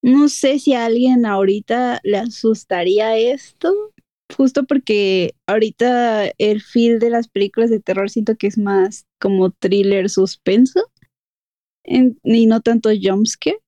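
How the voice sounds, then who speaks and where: A woman's voice: a little high, unhurried, warm tone, no echo. A young woman with a Mexico City accent, chatting casually as podcast co-host and reviewing a film, laughing often and stretching out her fillers. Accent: Mexico City Spanish.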